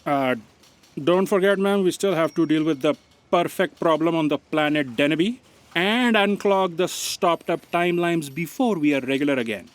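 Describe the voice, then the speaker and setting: A man's voice: a little high, moderate pace, natural voice. A man playing a pedantic, nervous aide, deferential, literal-minded and hesitant, in a comic sci-fi audio drama. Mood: earnest, anxious.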